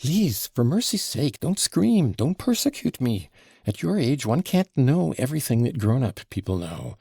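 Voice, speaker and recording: A flustered, gushing middle-aged mother from an audiobook novel, all breathless exclamations as a male narrator puts her on. Her voice swoops high and lively with a gravelly, husky grain. It sits dry and close. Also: moderate pace, dry recording, exaggerated voice.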